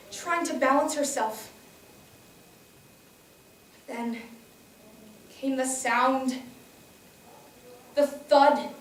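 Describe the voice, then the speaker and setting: A woman's voice: high-pitched, a bit bright, full character voice. Woman as shaken young reporter, echoey and distant. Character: young news reporter, shaken horrified eyewitness. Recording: echoey room, distant mic.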